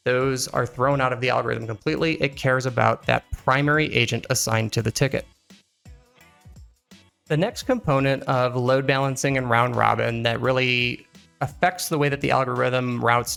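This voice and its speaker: Faint music plays under a man narrating a software tutorial. His voice sits at a middle pitch with a noticeable gravelly fry. He explains like an instructor, conversationally, posing questions and answering them himself. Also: fairly even intonation, normal speed. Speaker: instructor, engineering executive. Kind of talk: software tutorial narration over screen recording. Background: background music.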